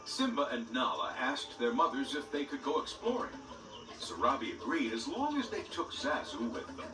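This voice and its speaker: A man narrates a children's storybook with a lively, expressive lilt, his pitch sitting slightly high. He sounds a bit distant in a roomy space. Loud orchestral music plays under the reading. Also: normal speed. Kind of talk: read-along storybook narration.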